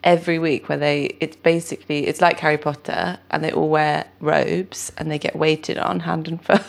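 British woman with a low, creaky voice. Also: little pitch movement, moderate pace. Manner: self-deprecating, giggly. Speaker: guest comedian. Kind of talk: casual chatty interview.

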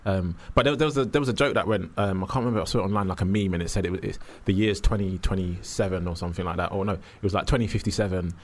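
Young male radio host, low-pitched, casual and stop-start. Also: rapid-fire, few pauses, a little hiss or hum. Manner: hesitant, filler-heavy, friendly.

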